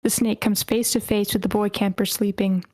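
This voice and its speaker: A woman's voice: mid-range voice, flat delivery, moderate pace, darkish tone, no room sound. A woman reading stage directions aloud as the narrator at a screenplay table read, in short clipped phrases.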